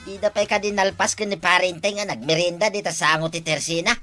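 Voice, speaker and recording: A woman's voice: lowish voice, steady tone, fairly quick, pushed and bright, faint music behind. A woman with an Ilocano accent playing a scheming village gambler in a comedy drama.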